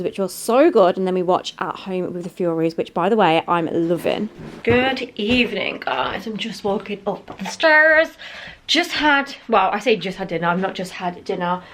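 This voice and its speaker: Young girl rambling to camera at home, bit wheezy, mid pitch. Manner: chatty, rambling, self-correcting. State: wheezy from a chest infection. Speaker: vlogger. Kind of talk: casual chatty vlog. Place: home, indoors.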